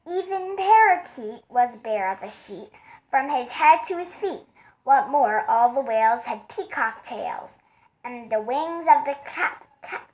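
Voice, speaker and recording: A woman voicing a flock of fussy birds in a storybook, shrill and pleading. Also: rough recording.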